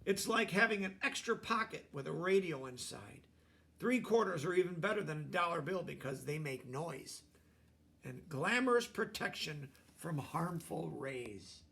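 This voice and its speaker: A man's voice: fairly high pitch, expressive, unhurried, vocal fry, pushed and bright, exaggerated voice. A man voicing a dramatic, earnest and remorseful little schoolgirl in a storybook reading. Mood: showing off.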